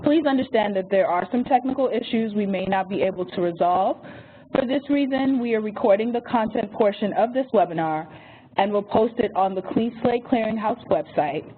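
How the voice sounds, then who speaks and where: A woman's voice: medium pitch, slightly rough. A woman moderating a webinar, reading housekeeping notes and an introduction from a script. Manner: reads phone number slowly and carefully. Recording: tinny phone-line audio with faint hiss.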